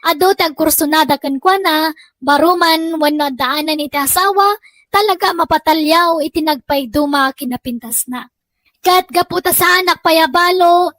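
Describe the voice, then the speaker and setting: A woman's voice: high voice, fairly bright tone, dry recording. A woman with a Filipino accent hosting and narrating a scripted radio-style drama. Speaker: host and narrator.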